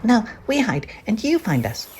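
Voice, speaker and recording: A man voicing a small, eager, playful young rabbit in a squeaky, childlike storybook voice. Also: huge pitch swings, normal speed, darkish tone, a little hiss or hum. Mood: bossy, eager.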